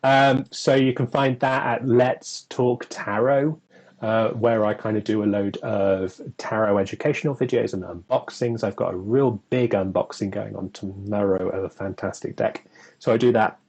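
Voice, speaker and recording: A man's voice: fairly low pitch, steady tone, moderate pace, darkish tone, husky. A young man from England talking casually on a live stream, chatty and rambling, with lots of fillers and frequent laughter. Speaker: co-host.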